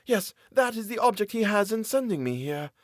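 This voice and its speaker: A man voicing a frightened young woman locked in an asylum, pleading in a high, desperate voice. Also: big pitch swings, normal speed, no room sound, studio quality. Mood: bitter.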